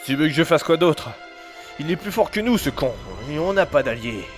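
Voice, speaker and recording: A man's voice: on the high side, expressive, normal speed, faint music behind, natural voice. An actor playing an anxious young man who grows suspicious of his partner. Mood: worried. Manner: tense, questioning. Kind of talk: amateur audio drama.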